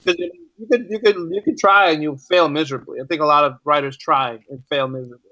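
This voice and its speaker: Man with higher-pitched voice, dull audio. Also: fast, sharp tone, no room sound. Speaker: podcast guest, writer. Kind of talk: casual interview chat.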